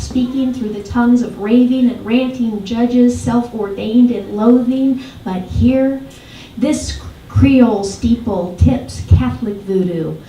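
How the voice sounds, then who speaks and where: A woman recites poetry in a roomy, reverberant space, picked up from some distance. Her voice is soft-edged and mellow, with a deliberate, dramatic delivery that leans on each phrase. A faint layer of noise sits in the recording. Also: a little high. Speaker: poet. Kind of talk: poetry reading, literary recitation. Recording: echoey room, distant mic, faint noise.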